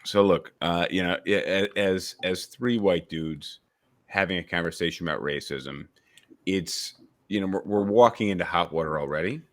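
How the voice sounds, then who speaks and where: A man's voice: sits low, normal speed. A young man from upstate New York hosting a casual podcast discussion, rambling with many restarts and filler phrases. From suburbs of Albany, New York. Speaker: podcast host.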